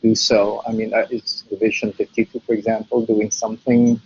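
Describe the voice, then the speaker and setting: A man hosting an interview, asking questions in a flat, measured way. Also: fairly low pitch, husky. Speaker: interview host. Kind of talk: moderating questions. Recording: dull lo-fi recording.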